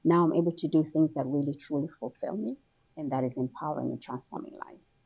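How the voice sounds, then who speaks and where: A middle-aged woman from Somaliland, raised in Kenya and England, telling her story as an author guest in an animated, conversational way. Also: fast, creaky, breathy edge. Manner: animated storytelling, rises high when excited. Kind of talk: conversational interview. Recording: dull lo-fi sound.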